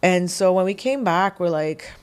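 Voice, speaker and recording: Singer guest, woman from Quebec, low voice, lively storyteller who acts out what people said. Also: moderate pace, clear, resonant tone. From Quebec, Canada. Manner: animated storytelling, acts out quotes with laughs. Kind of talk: casual interview chat.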